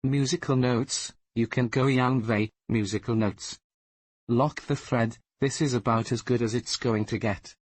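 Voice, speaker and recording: A man reading online forum comments aloud in a brisk, straight way. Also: medium pitch, fairly even intonation, no room sound. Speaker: comment reader.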